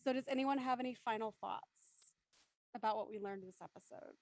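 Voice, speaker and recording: A woman's voice: fairly high pitch, moderate pace, darkish tone, no room sound. A woman hosting a live panel discussion, speaking in an emphatic, articulate way. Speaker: host of a live show discussion.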